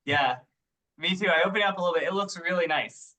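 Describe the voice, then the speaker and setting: A man's voice: high-pitched, fast talker, sharp tone. A man chatting casually as an organizer on an online meeting. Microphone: teleconference connection.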